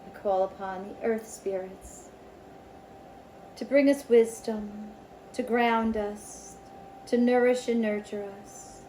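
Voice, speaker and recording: A woman leading a spiritual circle in a slow, ceremonial manner, with guided meditation and invocations and pauses between phrases. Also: fairly high pitch, fairly even intonation, warm tone, a little hiss or hum, poor audio. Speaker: Reiki circle leader.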